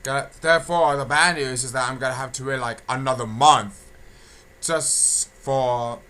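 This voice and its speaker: Bright-voiced young man, halting and repetitive. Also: mid-range voice, fairly even intonation. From England. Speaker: video creator giving channel updates. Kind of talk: casual audio log update. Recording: clear background noise.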